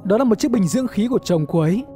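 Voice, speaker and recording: A man narrating an animated explainer series as its host, in a scripted storytelling style. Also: sits high, rapid-fire, soft background music. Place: voice-over recorded close, with music behind.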